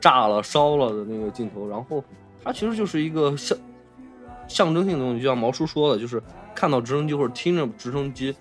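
A man's voice: medium pitch, animated, fast, soft background music. A man with a Mainland Chinese Mandarin accent hosting a casual film discussion, explaining and rephrasing his points as he goes. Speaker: podcast host.

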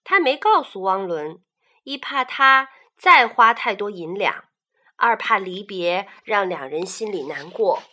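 A woman narrating a story for children, slow and expressive. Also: mid-range voice.

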